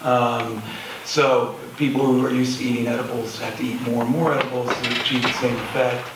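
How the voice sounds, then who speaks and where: A man answering as a panelist in a public discussion, speaking haltingly with many pauses. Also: mid-range voice, little pitch movement. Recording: distant mic, echoey room, noisy.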